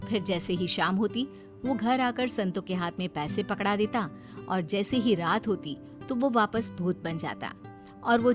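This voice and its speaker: A woman reading a scripted moral bedtime story as the narrator. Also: medium pitch.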